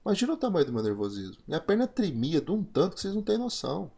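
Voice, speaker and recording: A man's voice: high-pitched, huge pitch swings, rapid-fire, gravelly, dark tone, slightly rough. A Brazilian man in his forties giving an emphatic motivational monologue, asking rhetorical questions and repeating key points. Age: 40s. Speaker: trading course host.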